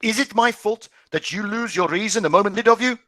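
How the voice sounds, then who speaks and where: A man's voice: high voice, fairly quick, dry recording, studio quality, pitched above the performer's own voice. A man doing a defiant angry teenage son. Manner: sharp, retorting. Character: defiant teenage boy, angry at his father.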